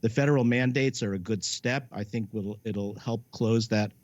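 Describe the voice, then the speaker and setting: Older US male medical pundit, deepish, halting and emphatic, dull close lo-fi sound. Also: no echo. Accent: American. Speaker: medical expert guest, professor and dean. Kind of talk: live news interview answers.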